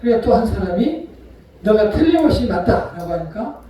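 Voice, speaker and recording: A male pastor preaching a sermon, retelling a story with acted-out voices and sharp swings between loud and soft. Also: high voice, big pitch swings, normal speed, a little hiss or hum. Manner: storytelling, acts out quoted voices. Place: church sanctuary. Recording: echoey hall from far off.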